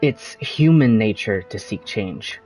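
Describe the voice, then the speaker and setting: Casual young traveler hero. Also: male voice, fairly low pitch, expressive, takes it slowly, darkish tone, husky, soft background music, exaggerated voice, low-quality recording. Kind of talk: video game voice acting.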